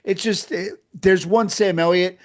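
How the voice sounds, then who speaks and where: A man's voice: high-pitched, fairly even intonation, a little slow. A man co-hosting a casual podcast, talking with eager enthusiasm and emphatic repetition. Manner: enthusiastic, emphatic, repeats himself. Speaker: podcast co-host. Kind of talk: casual chat.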